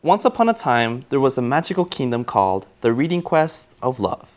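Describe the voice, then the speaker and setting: A man playing an eager, encouraging schoolteacher who reads fairy tales aloud to children in an animated, coaxing way. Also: mid-range voice, fairly quick, close to an everyday voice. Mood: storytelling. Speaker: teacher and storyteller. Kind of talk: children's read-aloud storytelling.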